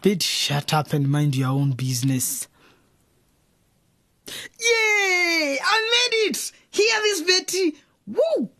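A woman's voice: very high voice, big pitch swings, takes it slowly, pauses often, bright, forceful tone, no echo, full character voice. A cocky teenage boy in a radio drama, a young footballer with a brash, dismissive way with his sister. The sound is very dry and close. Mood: gruff, irritated.

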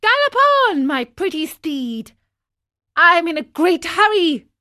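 Woman playing a little wooden puppet boy, high-pitched, swooping, impulsive, pleading, melodramatic storybook character. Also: big pitch swings, slow, fairly bright tone, exaggerated voice. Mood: excited, eager.

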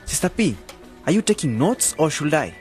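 Radio play casting: excitable young male novice, higher voice with big swings, cheeky and questioning. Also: normal speed, soft background music. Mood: eager. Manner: animated, questioning. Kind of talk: scripted educational radio drama.

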